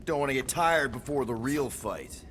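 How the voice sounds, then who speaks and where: An actor playing a wry, easygoing space-crew leader in a video game, speaking casually. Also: male voice, medium pitch, expressive, moderate pace, fairly bright tone, soft background music, natural voice. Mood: wry, teasing. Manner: casual, hesitant, teasing.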